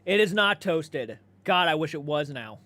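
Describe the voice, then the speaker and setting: A man's voice: fairly high pitch, expressive, unhurried, bright, forceful tone, no echo. A man, maybe in his thirties, chatting casually as a livestream host, laid-back and conversational, swearing freely and responding to viewer comments.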